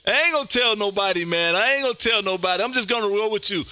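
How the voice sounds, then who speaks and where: A man's voice: high voice, fast talker, sharp tone, clear, resonant tone. A boisterous, folksy man, hosting amateur radio calls and joking with his contacts. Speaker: amateur radio host, live streamer. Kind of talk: animated ham radio contact calls and banter. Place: home radio room.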